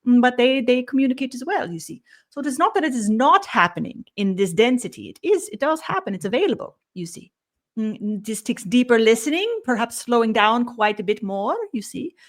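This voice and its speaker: A woman voicing a benevolent collective spirit guide who speaks as "we", humming "mm" and trailing off into soft murmured "you see". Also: fairly high pitch, lively intonation. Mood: serene. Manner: measured, gently instructive. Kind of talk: channeled spiritual session.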